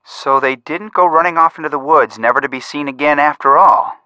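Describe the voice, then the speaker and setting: A man's voice: a little high, brisk, pushed and bright, clear, resonant tone, dry recording. A man playing an earnest young amateur sleuth in a game, analytical and inquisitive as he reasons aloud. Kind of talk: scripted game voice acting.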